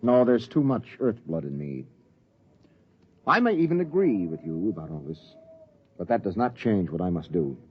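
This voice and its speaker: An actor playing a firm, reasonable spaceship captain with a measured, authoritative manner in a 1950s radio drama. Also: male voice, on the low side, sing-song, breathy edge. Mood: grave, reasoning. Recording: dull, hissy 1950s radio recording.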